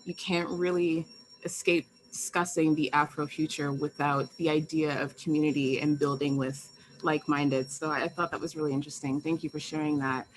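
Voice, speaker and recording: A woman hosting a live-streamed interview, effusive and complimentary, often pausing with "um" as she frames her questions. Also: low-pitched, level tone, a little hiss or hum, low-quality recording. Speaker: host and interviewer.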